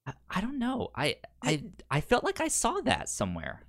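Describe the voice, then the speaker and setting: A man co-hosting a casual film discussion, speaking haltingly and often stammering and repeating words. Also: high voice, huge pitch swings, a bit bright. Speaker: film podcast co-host.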